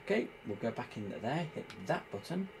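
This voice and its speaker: A man giving an informal hands-on tutorial, explaining step by step in a halting, hesitant way. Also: medium pitch, sing-song, noisy background. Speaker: presenter demonstrating radio equipment.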